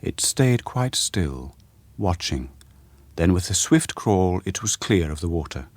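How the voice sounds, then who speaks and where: A man reading a short story aloud as radio narration, in a measured, quiet storytelling manner. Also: sits low, big pitch swings, no echo. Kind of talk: scripted narration. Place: radio studio.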